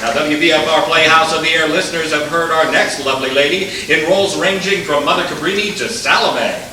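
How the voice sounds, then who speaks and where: A man's voice: fairly high pitch, brisk, lightly acted. A man playing a genial, grandiose 1940s radio announcer addressing a studio audience in a live stage play. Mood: showy, proud. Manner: grandiose, showman-like. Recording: echoey theatre from far off, crowd noise. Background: live audience noise.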